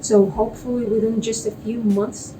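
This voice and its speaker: A woman's voice: a little high, level tone, moderate pace, recorded from a distance, over music, rough recording. A young woman from Russia, a native Russian speaker, answering as an interview guest and explaining research in plain terms. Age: young adult.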